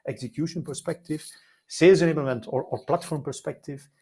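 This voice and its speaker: A man's voice: on the high side, fairly quick, a little mellow, breathy edge. A middle-aged man with a Flemish Belgian accent, a guest telling his career story in an interview with enthusiasm, many fillers and repeated words. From Belgium, Flemish-speaking side. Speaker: guest, life science consultant.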